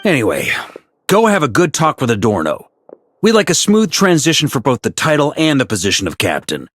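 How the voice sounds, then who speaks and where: An actor playing a weary middle-aged knight commander in a video game, who sighs in exasperation, teases dryly and gives gruff orders. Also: male voice, mid-range voice, huge pitch swings, brisk. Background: soft game music.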